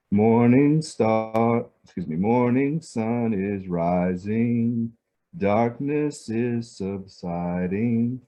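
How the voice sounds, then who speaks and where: Brief: man participant, low mellow voice, slow and modest, muffled lo-fi audio. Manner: modest, matter-of-fact. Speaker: workshop participant. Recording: dull lo-fi audio.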